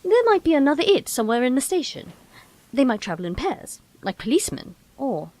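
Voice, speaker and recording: A woman's voice: sits high, sing-song, a little fast, darkish tone, no echo. A woman playing an eccentric, breezy, brainy time-travelling Doctor in an audio drama, chatty and whimsical, with quaint turns of phrase. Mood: curious.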